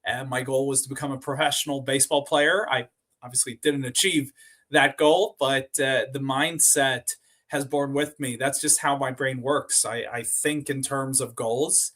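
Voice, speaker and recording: A man's voice: medium pitch, steady tone, normal speed, a bit bright. Guy on a podcast, chatty and rambling, lots of uh and you know, normal mid voice. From Sweden. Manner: rambling, repeats and restarts words, many fillers. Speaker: podcast guest, company co-founder. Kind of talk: casual interview storytelling.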